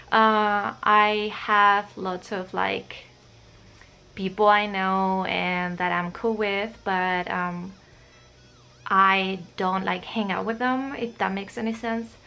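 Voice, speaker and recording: A woman's voice: medium pitch, creaky, pushed and bright. A young woman from Spain with a Spanish accent, chatting shyly and hesitantly to camera, with many fillers. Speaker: vlogger. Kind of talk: casual chat to camera.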